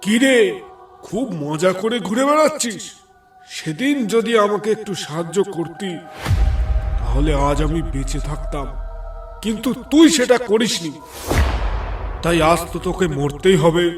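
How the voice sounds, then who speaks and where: A man's voice: sits high, lively intonation, a little slow, long pauses. A man voicing a vengeful ghost in a horror cartoon, taunting and threatening. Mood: menacing, taunting. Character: vengeful ghost of a dead villager, menacing. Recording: echoing, distant, music behind.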